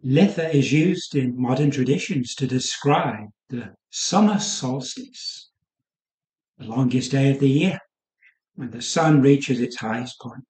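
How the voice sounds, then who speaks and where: A man guiding a yoga nidra meditation in a soothing, unhurried manner, leaving pauses between short phrases. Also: mid-range voice, a little mellow, echoey room, poor audio. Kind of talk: guided meditation.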